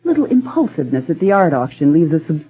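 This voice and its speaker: An actress playing an exasperated, nagging wife with a pointed manner in a radio drama. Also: female voice, deep voice, very animated, fast talker, slightly rough, full character voice. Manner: pointed, emphatic. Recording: tinny old radio recording.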